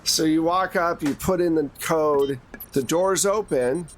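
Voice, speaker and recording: A man's voice: on the high side, unhurried. A man speaking as game master, narrating action to players in a conversational way with casual asides.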